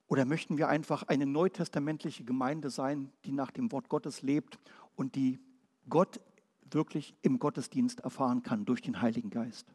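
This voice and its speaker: A man from Berlin preaching a Pentecostal sermon, firing off rhetorical questions and then dropping quiet and low. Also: steady tone, moderate pace, husky, long reverb tail. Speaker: pastor.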